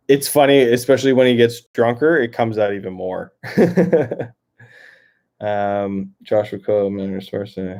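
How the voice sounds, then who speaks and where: Lowish Canadian man chatting on a live stream. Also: slow pace, pauses often, darkish tone. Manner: rambling, filler-heavy, many restarts. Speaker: livestream host. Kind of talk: casual livestream chat reading comments. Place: outdoors near a lake.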